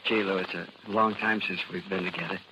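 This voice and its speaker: A man's voice: sits low, steady tone, a little fast, close to an everyday voice. An American man acting in a 1930s radio drama as an earnest, naive, lovesick country youth with boyish, ungrammatical speech. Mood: ardent. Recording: noisy vintage 1930s radio recording.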